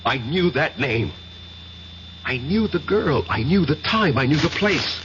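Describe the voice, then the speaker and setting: An actor playing an agitated professor in a 1970s radio drama, speaking insistently and haltingly, often repeating himself. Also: male voice, a little high, big pitch swings. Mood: feverish, haunted. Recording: muffled, hissy radio drama recording.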